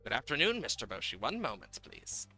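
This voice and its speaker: Man reading as a bright, hospitable cider brewer. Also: mid-range voice, huge pitch swings, fairly quick, faint music behind, full character voice, rough recording. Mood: welcoming. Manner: neighbourly, inviting. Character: friendly hospitable pumpkin cider brewer in a farming game. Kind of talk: reading video game dialogue.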